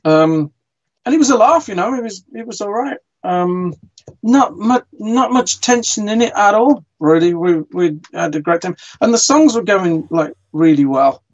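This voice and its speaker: A man's voice: high-pitched, expressive, normal speed. A male band member chats casually in an interview. The recording is dull and lo-fi.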